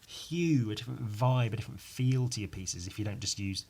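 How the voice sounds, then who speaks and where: A man with a croaky voice rambling casually on his own as a podcast host, informal and sweary, poking fun at himself and tacking questions onto his remarks. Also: on the low side, brisk, a little mellow. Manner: sweary, informal, self-deprecating, with tag questions. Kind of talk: casual rambling solo chat.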